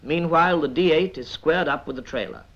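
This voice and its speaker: A British man reads scripted 1950s military training film narration in a measured, instructive manner with dry asides. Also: on the high side, fairly bright tone. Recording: dull vintage 1950s film soundtrack.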